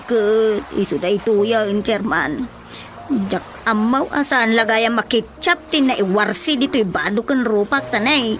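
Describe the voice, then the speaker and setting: An actress playing a distressed, complaining village wife with an apologetic manner in a radio comedy drama. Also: female voice, a little high, a bit bright.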